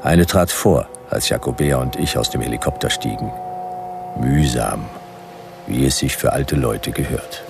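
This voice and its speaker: A man's voice: a bass voice, a little slow, creaky, noisy background, full character voice. An actor playing a laconic, sardonic private detective who narrates his case in dry, clipped phrases for a radio play. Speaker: narrator and lead character. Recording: music behind the voice. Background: music.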